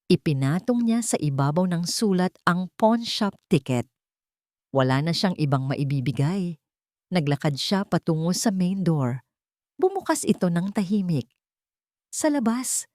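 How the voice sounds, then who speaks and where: A woman giving slow, emotive scripted narration of a dramatic love story. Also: sits low, dark tone, dry recording, studio quality.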